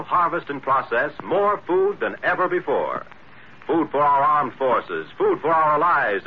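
A man reading scripted 1940s radio announcements and narration in a formal, emphatic, deliberate manner. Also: on the high side. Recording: noisy muffled vintage radio recording.

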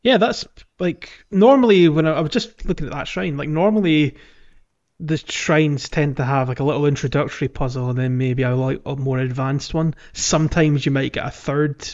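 A man's voice: fairly high pitch, normal speed, a little mellow, highs cut off. A man hosting a casual podcast chat about a video game, talking in a chatty, informal way. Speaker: podcast host.

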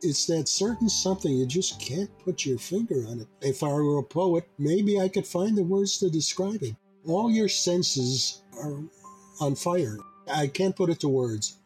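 A man in his eighties speaking sincerely and reflectively, in a measured way, about his own life. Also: fairly high pitch, a little mellow, rough recording. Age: 80s. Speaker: discussion participant. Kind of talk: reflective personal reminiscence.

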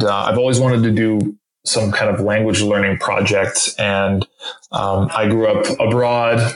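Halting man with a roomy echo. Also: on the low side. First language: French. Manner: halting, lots of fillers and restarts. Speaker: interview guest. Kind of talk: casual interview conversation.

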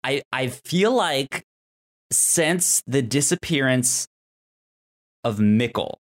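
Halting man with lively, swinging intonation. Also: mid-range voice. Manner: halting, repeats words as he thinks. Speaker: podcast co-host. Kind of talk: casual discussion of a TV drama.

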